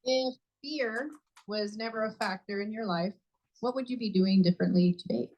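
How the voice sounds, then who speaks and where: A woman's voice: medium pitch, moderate pace, muffled recording. A woman acting as topic master, running a club meeting in an upbeat way.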